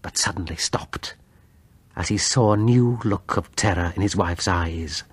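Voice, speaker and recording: A man narrating a gothic horror story in a breathy, husky voice, with grave, suspenseful, deliberate phrasing. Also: deep voice, dry recording. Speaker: audiobook narrator.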